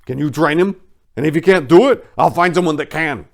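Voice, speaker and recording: A hot-tempered bar boss, acted out by a male narrator in an audiobook. The voice is pushed up high and loud, blunt and bossy, with a foul mouth and no patience. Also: fairly quick, gravelly. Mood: furious yelling.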